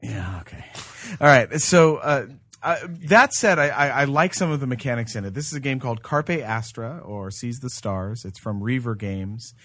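A man hosting a casual review chat, explaining things haltingly and often groping for the right word. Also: medium pitch, animated, sharp tone, no room sound, clean studio sound. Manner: hesitant, halting explanations that grope for words. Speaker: podcast host reviewing games.

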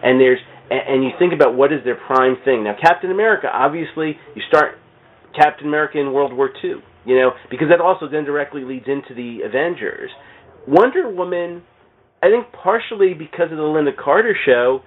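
A man's voice: a little high, a little fast. A man chatting casually about comics and films in a rambling, jokey way that often interrupts itself. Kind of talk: casual comics and film chat. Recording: thin phone-like podcast audio.

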